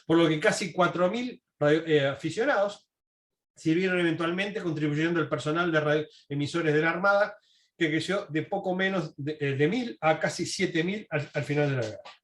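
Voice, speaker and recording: Echoey, higher-voiced man lecturing haltingly. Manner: halting, with frequent self-corrections and throat clearing. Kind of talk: history presentation, reading out translated documents and commenting. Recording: echoey, roomy sound.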